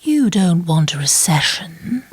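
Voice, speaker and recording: A woman's voice: on the low side, very animated, slightly rough, heavily acted. Actress as cool, controlled woman government minder. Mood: cold menace. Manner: measured, formal, insinuating. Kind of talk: radio play acting.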